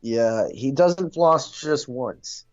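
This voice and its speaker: A man chatting casually as co-host of a movie discussion, hesitant, with many pauses and fillers. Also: medium pitch, expressive, a crowd in the background. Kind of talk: casual chat. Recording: dull lo-fi audio, music bed. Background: music.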